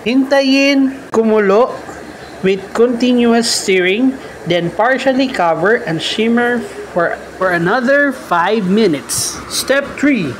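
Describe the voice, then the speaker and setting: A man's voice: very high-pitched, expressive, slow pace, noisy background. A man with a Filipino accent hosts a bedtime story show, reading listener letters aloud and mixing English into his talk. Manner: switches between Tagalog and English.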